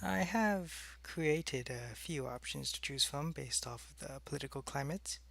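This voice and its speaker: An earnest alien agent, played by a man, briefs a superior in a sci-fi audio drama. His voice is bright and has a gravelly creak. He explains in measured, matter-of-fact fashion. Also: mid-range voice. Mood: earnest, cautious. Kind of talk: scripted sci-fi audio roleplay.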